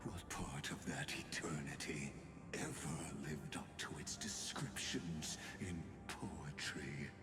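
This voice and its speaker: A cold army commander from a fantasy video game, threatens his captives with formal menace. His low voice moves slowly and deliberately, every phrase measured out. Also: steady tone. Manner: slow, measured, coldly formal. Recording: roomy reverb under game music.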